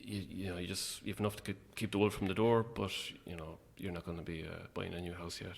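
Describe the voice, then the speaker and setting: A man's voice: low-pitched, level tone, quick pace, gravelly. A man chatting casually as an interview guest about his own creative work, in a hesitant, reflective way. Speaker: playwright and actor, interview guest.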